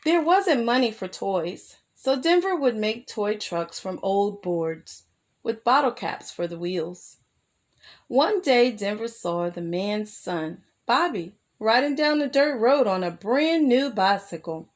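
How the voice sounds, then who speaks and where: Female storyteller, higher-pitched, unhurried, expressive read-aloud for young children. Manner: addresses young listeners with simple questions. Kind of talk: read-aloud children's picture book narration with asides.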